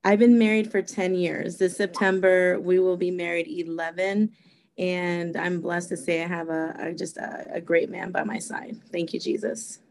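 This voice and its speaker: A woman's voice: on the low side, steady tone, normal speed, vocal fry. A woman in her thirties from Costa Rica, raised in California, telling her life story with a laugh in her voice. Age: 30s. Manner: bubbly, laughs often, warm and open. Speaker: guest sharing testimony. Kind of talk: casual interview, personal testimony.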